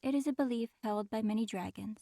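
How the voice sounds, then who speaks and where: A woman playing an ancient, regal female dragon in human form, aloof and guarded, with formal, measured speech in an audio roleplay. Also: a little high, gravelly, mellow, no echo. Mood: solemn, reflective. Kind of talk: audio roleplay, in-character monologue addressed to listener.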